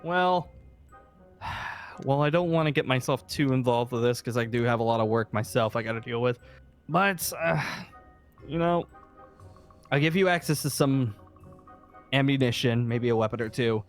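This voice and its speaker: A man's voice: medium pitch, moderate pace, long pauses, faint music behind, natural voice. A man playing a weary, cautious leader of a zombie-apocalypse safe haven in an improvised game roleplay, talking hesitantly and trailing off. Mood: reluctant, instructive.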